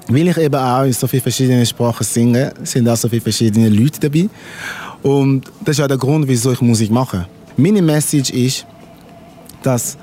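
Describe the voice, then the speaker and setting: A middle-aged man from Congo whose first language is Lingala, a musician talking in an unhurried, word-searching way in an interview at an outdoor festival. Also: medium pitch, dark tone, a little hiss or hum. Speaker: musician guest. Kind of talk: casual interview.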